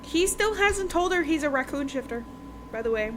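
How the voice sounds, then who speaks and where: High-voiced woman, chatty and informal, noisy recording. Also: lively intonation, normal speed. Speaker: podcast host reviewing romance books. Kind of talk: casual book recap chat. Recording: noticeable background noise.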